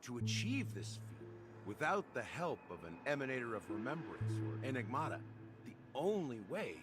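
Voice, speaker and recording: An actor playing a composed, knowing male veteran revolutionary, telling a dramatic game story in measured, deliberate phrases. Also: mid-range voice, big pitch swings, natural voice. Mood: measured, grave. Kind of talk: dramatic scripted game dialogue. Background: game music.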